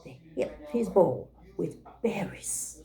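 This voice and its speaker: A woman reading a picture book aloud to young children as a teacher, pausing to check in with her listeners. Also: lowish voice, very animated, creaky, dark tone.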